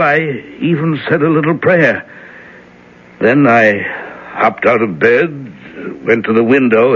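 An actor playing a worried reverend and father in a 1970s radio drama, speaking in a halting, hesitant way. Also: medium pitch, husky. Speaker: reverend character. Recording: lo-fi vintage radio broadcast.

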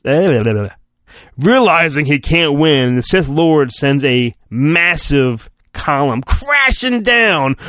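Animated, high-pitched man reading theatrically. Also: dry recording. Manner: theatrical, irreverent, often breaking off to comment. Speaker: podcast host. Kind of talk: mock-dramatic storybook reading with crude comic asides.

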